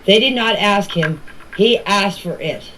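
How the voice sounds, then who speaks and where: A woman's voice: mid-range voice, a little slow, pushed and bright, slight background noise, poor audio. Middle-aged or older woman, plain-spoken. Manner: plain-spoken, emphatic, repeats herself. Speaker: family member giving her account. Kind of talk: informal personal account in voice messages. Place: voice clips recorded for a group chat.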